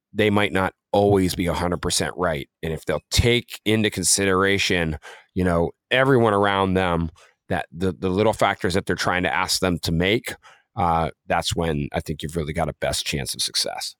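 A man's voice: deep voice, normal speed, a bit bright, no echo, studio quality. A man co-hosting a podcast in a casual, conversational way, often dropping in "you know" as he talks. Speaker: podcast co-host, music career coach.

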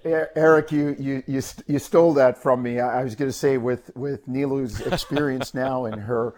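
A man's voice: mid-range voice, fairly even intonation, normal speed, a little mellow. Older man, rambling casual sports co-host. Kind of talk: casual, conversational interviewing.

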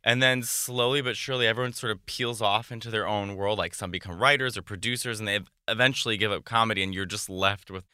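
A man who is a comedian, a guest in a casual interview, talking eagerly and rambling with quick restarts. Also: on the low side, fairly even intonation, sharp tone, clean tone, dry recording, studio quality.

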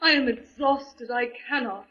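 An actress playing a frail, theatrical princess and spirit medium in a 1940s radio drama. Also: female voice, on the high side, measured pace, sharp tone. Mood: faint, distressed. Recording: dull 1940s radio recording.

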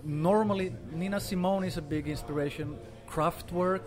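A man's voice: sits high, normal speed, music in the background. A man in his forties, from Finland and raised in Sweden, speaking casually with many fillers as an interview guest. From Finland, grew up in Sweden. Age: 40s. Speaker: guest artist.

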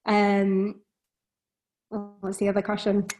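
A woman's voice: mid-range voice, monotone, takes it slowly, a little mellow. A woman co-founder on a panel, speaking hesitantly with many fillers. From Britain. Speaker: company co-founder, panel guest. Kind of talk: casual chat.

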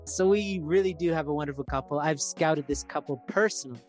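An enthusiastic podcast host introducing an episode and its guests, with a mid-range voice and an admiring tone. Music plays clearly underneath the voice. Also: mid-range voice, a little fast.

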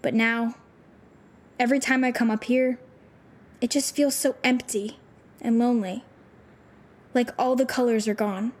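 High-voiced troubled teenage girl. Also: fairly even intonation. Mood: wistful, sad. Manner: halting, informal youthful phrasing. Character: troubled, disillusioned teenage girl runaway with superpowers. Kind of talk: audio drama acting.

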